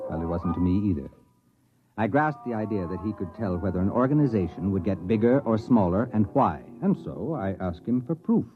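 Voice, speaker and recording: An actor playing a brusque, sardonic, money-minded American university administrator who also narrates wryly in an old-time radio drama. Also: male voice, fairly low pitch, expressive, normal speed, faint music behind, natural voice. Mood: wry narration. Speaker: narrator and lead character. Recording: tinny, band-limited old radio recording.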